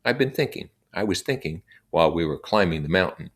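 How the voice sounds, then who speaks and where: A man's voice: low voice, expressive, brisk, fairly bright tone. A man voicing a confident, insistent boy leader who declaims to a group in a storybook reading. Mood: confident, rousing. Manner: emphatic, declaiming.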